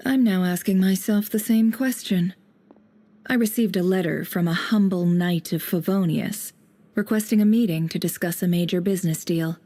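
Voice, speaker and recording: A woman's voice: fairly low pitch, moderate pace, mellow, natural voice. An actress voicing a wry, composed adult female spymaster in a video game, speaking in a dry, confident, teasing way.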